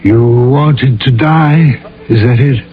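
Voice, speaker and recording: An actor playing a grave, concerned government man in a radio drama, speaking in a measured, solicitous way. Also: medium pitch, natural voice. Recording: tinny old radio broadcast.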